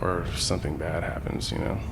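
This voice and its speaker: Interview guest, male, 40s. Deep-leaning, creaky voice, loose slangy delivery, noisy room tone. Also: fairly even intonation, fairly quick. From San Francisco Bay Area, US. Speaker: interview guest, graffiti artist. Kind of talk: casual reflective interview talk.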